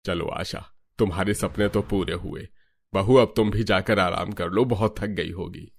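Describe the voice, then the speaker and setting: A man's voice: low voice, steady tone, moderate pace, vocal fry. A man voicing a kindly older father-in-law with a dry manner in a scripted cartoon.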